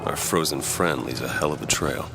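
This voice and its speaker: Very deep, gravelly jaded detective. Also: male voice, normal speed, over loud music. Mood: dry, terse. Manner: terse, dry, cynical. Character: jaded, world-weary, tough male private detective. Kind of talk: game dialogue, noir detective acting.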